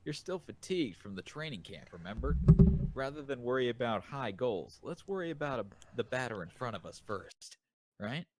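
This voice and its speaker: A teenage baseball catcher, read by a man in an amateur manga dub. He is steady and coaxing, gently scolding, with mid-pitched, animated delivery. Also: moderate pace, rough recording. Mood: chiding.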